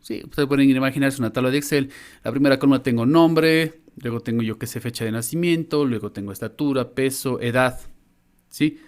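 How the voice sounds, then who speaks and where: A man's voice: mid-range voice, brisk. Latin American man teaching informally online. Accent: Latin American Spanish. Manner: informal, frequent check-in tags. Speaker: university lecturer. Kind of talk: explanatory online lesson. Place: indoor room, online class.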